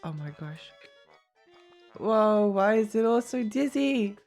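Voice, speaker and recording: A woman streaming a video game, chatting casually with many fillers and little laughs, her voice a bit croaky. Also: mid-range voice, expressive, takes it slowly, a little mellow, soft background music. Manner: rambling, giggly, many fillers and restarts. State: slightly croaky voice. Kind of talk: casual live-stream chatter while gaming.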